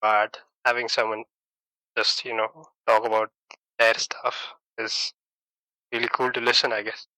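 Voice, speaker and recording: A man's voice: fairly low pitch, little pitch movement, unhurried, pushed and bright, no echo, poor audio. A man from India, speaking as an in a casual podcast chat, laughing often and searching for words. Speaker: podcast host.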